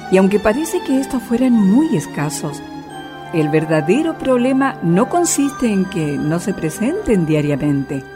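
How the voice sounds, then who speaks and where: A woman with a Chilean accent narrating a scripted radio story in an expressive, deliberate way. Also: medium pitch. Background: music.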